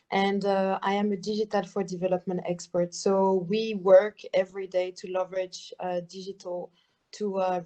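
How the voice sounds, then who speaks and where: A woman's voice: mid-range voice, mellow. A woman development expert introducing herself on a video call, hesitant and full of fillers. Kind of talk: self-introduction on a panel.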